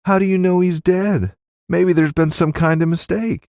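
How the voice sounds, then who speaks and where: Man voicing a high, worried saloon woman. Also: steady tone, normal speed, darkish tone, dry recording, studio quality. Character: concerned young woman in Western saloon.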